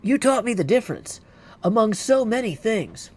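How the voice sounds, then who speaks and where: A man's voice: high voice, big pitch swings, normal speed, pitched above the performer's own voice, heavily acted. A man voicing an earnest, dutiful young princess witch from a video game, speaking formally and from the heart. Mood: apologetic, tender. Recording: clear background noise.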